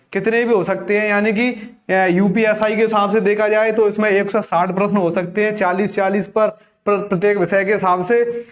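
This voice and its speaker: High-voiced man coaching exam aspirants. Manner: addresses viewers as friends, instructive, repeats key numbers. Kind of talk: explanatory exam guidance lecture.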